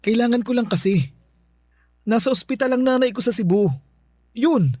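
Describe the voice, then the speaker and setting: A man's voice: very high-pitched, moderate pace, a little mellow. A man playing an evasive, pleading boyfriend in a Filipino radio drama, stammering and defensive. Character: evasive, pleading live-in boyfriend caught stealing.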